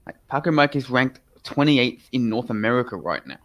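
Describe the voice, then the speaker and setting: A man's voice: mid-range voice, expressive, fairly quick. Aussie guy casting a match live, chatty and upbeat, headset mic. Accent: Australian. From Australia. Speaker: esports caster. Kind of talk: live game commentary.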